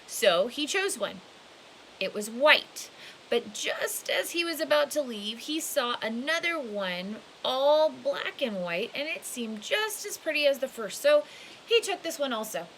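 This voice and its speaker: A woman's voice: sits high, big pitch swings, measured pace, fairly bright tone, low-quality recording. A woman reading a children's picture book aloud expressively, stretching key words and adding casual asides. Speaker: storybook reader. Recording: hissy home recording with background noise.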